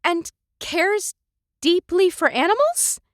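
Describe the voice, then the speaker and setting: A woman's voice: very high voice, very animated, slow, dry recording, clean studio sound, pitched above the performer's own voice, exaggerated voice. A woman voicing a flustered, nervous young woman who stumbles over her words with ums and uhs.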